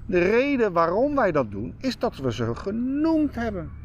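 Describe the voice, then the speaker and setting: Male Dutch podcast co-host, animated intonation, slightly high voice, emphatic casual talk. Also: a little slow, highs cut off, a little hiss or hum. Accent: Netherlands Dutch. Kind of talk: casual chat.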